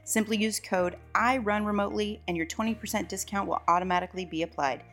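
A woman's voice: medium pitch, steady tone, fast talker, a bit bright, soft background music. A woman hosting a podcast, reading a scripted intro and then greeting her guest in an upbeat, enthusiastic way. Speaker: podcast host.

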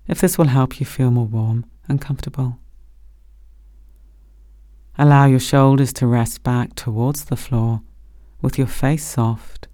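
A man gently leading a guided body scan meditation as a meditation teacher, speaking slowly and soothingly. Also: medium pitch, dry recording, studio quality. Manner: gentle, slow, soothing instruction.

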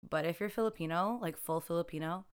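Young woman co-host, casual, smooth voice, lots of laughs. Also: lowish voice, fast. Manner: chatty, laughs often, lots of "like". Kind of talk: casual chat.

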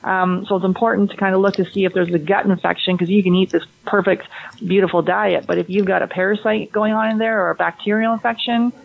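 Gravelly-voiced fertility practitioner explaining. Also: mid-range voice, flat delivery, quick pace, low-quality recording. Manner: explanatory, with many restarts and repeated words. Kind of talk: conversational interview answers.

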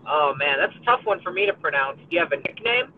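A young American man in his college years talks in a friendly, upbeat way, stumbling into restarts and fillers. His voice sits a little high. It comes through a narrow, tinny line with a faint hiss behind it. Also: animated, fast talker. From United States. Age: college age. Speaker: podcast co-host. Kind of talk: casual conversation.

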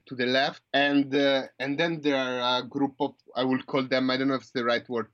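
A man's voice: medium pitch, fairly bright tone, no room sound. A man in his twenties with an Italian accent, answering interview questions with many fillers and restarts. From Italy. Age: 20s. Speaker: podcast guest. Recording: dull lo-fi dry sound.

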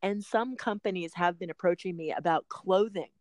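A woman's voice: fairly low pitch, moderate pace, a little mellow, no room sound. A middle-aged woman hosting a podcast, chatting casually with frequent laughs and rambling asides. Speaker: podcast host and personal trainer.

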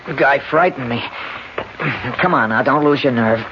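A man's voice: medium pitch, normal speed, noisy background, close to an everyday voice. An actor playing a nervy man trying to be brave, urgent and stammering, in a 1970s radio drama. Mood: nervous, protective. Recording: dull vintage radio with music behind.

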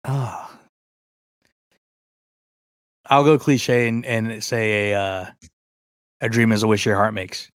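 A man's voice: on the low side, steady tone, slow pace, pauses often, no room sound, clean studio sound. A man co-hosting a podcast in casual chat, informal and joking, with some self-deprecation. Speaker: podcast co-host.

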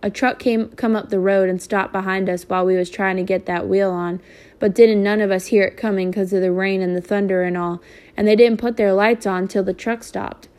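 A woman's voice: medium pitch, fairly even intonation, a little fast, darkish tone, natural voice. A woman voicing a shaken teenage boy who tells of an attack in rural Southern dialect speech.

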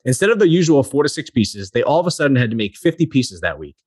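A man's voice: mid-range voice, very animated, fast talker, husky, no echo, studio quality. A man hosting a local business podcast, reading an introduction and then chatting loosely with his guests. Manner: friendly, reads intro then chats loosely. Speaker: podcast host. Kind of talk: casual interview chat.

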